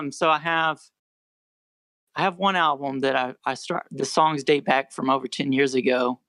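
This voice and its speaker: A quiet-voiced young American man and musician, chatting in a relaxed, rambling way as a podcast guest. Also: medium pitch, unhurried, no echo. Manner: rambling, self-correcting, relaxed. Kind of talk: casual podcast interview.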